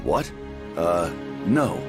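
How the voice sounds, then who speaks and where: Gruff, cynical male game hero, low register, blunt and dry, loud music underneath. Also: very animated, very slow, heavily acted. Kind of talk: video game cutscene acting.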